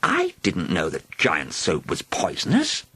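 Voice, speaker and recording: A man's voice: sits low, huge pitch swings, a little slow, bright, forceful tone, slightly rough, no echo, exaggerated voice. A man voicing a fussy, nervous middle-aged lawyer in a storybook, flustered.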